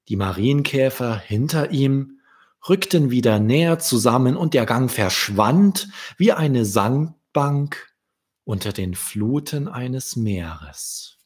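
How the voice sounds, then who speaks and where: A man's voice: medium pitch, husky. A man in his thirties from Germany reading his own children's story as a narrator, close to a microphone. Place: small wood-panelled room indoors. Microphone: desk microphone close in front.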